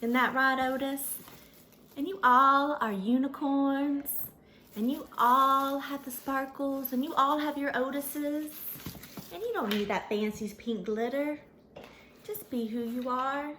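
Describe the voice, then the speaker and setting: A sweet little storybook pony played by a woman speaks in a high, bright voice. She tells her tale to children in a folksy, earnest and dramatic way. There is faint noise behind her. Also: slow pace, heavily acted. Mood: relieved, earnest. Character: sweet, folksy little female pony who became a famous unicorn.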